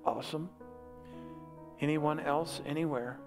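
Older American pastor over instrumental music. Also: male voice, fairly high pitch, steady tone, unhurried. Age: 60s. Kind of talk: conversational sermon and altar call. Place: large church sanctuary, on stage before congregation.

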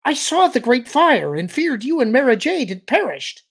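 A man's voice: high voice, expressive, moderate pace, darkish tone, husky, pitched above the performer's own voice. A man voicing a young winged alien creature in an audiobook, anxious and earnest, with formal phrasing. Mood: excited, relieved.

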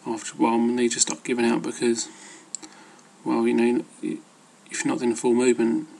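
A man's voice: medium pitch, monotone, moderate pace, gravelly, mellow. A man giving casual, rambling advice as an amateur fitness vlogger, hesitant and loosely worded.

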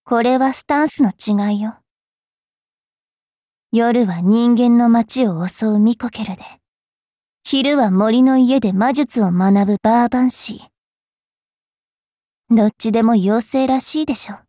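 A woman voicing a bratty, cruel young fairy witch in an anime game, haughty and taunting with a rough tongue. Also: a little high, slow pace, pauses often, warm tone, no echo, clean studio sound. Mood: aloof, prickly. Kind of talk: Japanese anime game character voice lines.

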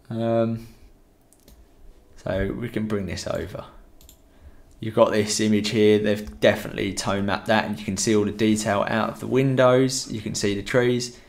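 A man's voice: lowish voice, creaky. A young man with a headset mic, giving a rambling, chatty photography tutorial in a room at home. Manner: rambling, chatty, many fillers. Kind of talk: casual live-stream tutorial.

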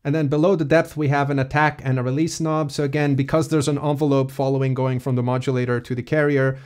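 A man giving a casual, explanatory software walkthrough as a music production tutorial presenter. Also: medium pitch, little pitch movement, fast talker.

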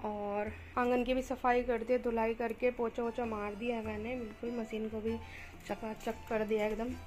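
A woman's voice: fairly high pitch, little pitch movement, normal speed, a little mellow. A young woman with a Rajasthani Hindi accent, chatting to viewers as she does housework in a village courtyard, recorded on a phone. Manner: chatty, addresses viewers directly. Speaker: vlogger. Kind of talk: casual vlog narration. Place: village home courtyard, outdoors. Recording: background music under the voice.

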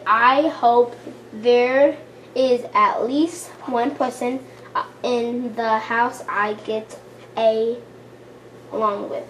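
A woman's voice: fairly high pitch, slight background noise, rough recording. A young woman voicing a spoiled, rich young girl doll with an airy, drawling manner.

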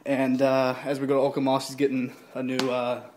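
A man's voice: mid-range voice, completely flat delivery, a little fast, darkish tone, a little hiss or hum. A young man vlogging casually to his phone camera, rambling with lots of fillers and restarts. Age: young adult. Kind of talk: casual vlog chat to camera. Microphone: phone at arm's length.

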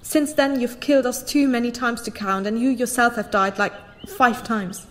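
A woman's voice: on the high side, normal speed, fairly bright tone, long reverb tail, a little hiss or hum, close to an everyday voice. A woman playing a patient phantom daughter in a sci-fi audio drama, speaking in a blunt, dry, matter-of-fact way.

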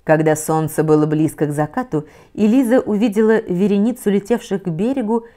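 A middle-aged woman reading a children's fairy tale aloud in a soft, measured way, sometimes sinking almost to a whisper. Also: mid-range voice. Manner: soft, measured, often dropping to a near whisper. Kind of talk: gentle children's story reading. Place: cozy living room set with bookshelves, in an armchair.

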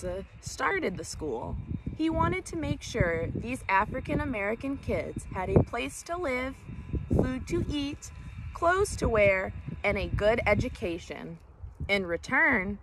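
Young female storytime reader, animated intonation at a slow pace, recorded outside for a children's book read-aloud. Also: medium pitch. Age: young adult. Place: outdoors in woods by a creek. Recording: clear outdoor background noise, clicks.

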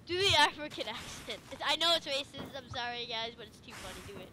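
A woman's voice: sits high, animated, fast, bright, forceful tone. A young woman chatting casually while playing a video game, as host of a gaming video. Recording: clicky, noisy home gaming recording.

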